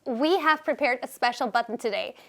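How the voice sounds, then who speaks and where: High-voiced bubbly woman host. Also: a little fast. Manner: upbeat, animated presenting. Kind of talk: hosting a panel interview.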